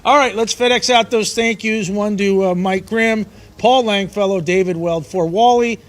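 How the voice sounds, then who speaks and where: A man's voice: high-pitched, moderate pace. A man hosting a sports talk show, upbeat and emphatic, punching his points. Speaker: host.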